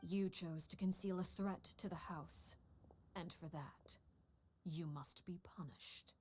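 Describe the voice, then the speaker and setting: A woman voicing a stern, icily composed head of an assassin household in a video game, speaking low and measured, often near a whisper. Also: fairly even intonation, darkish tone, slight background noise. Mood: coldly composed. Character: cold, stern mother figure called Father, head of an assassin household. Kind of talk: video game story dialogue.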